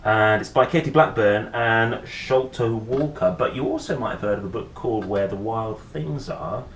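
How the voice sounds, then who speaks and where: Male children's storyteller, lowish pitch, highly expressive with chatty asides; roomy sound with faint noise. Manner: playful, expressive, dramatic pauses and gasps. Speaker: host reading picture books aloud.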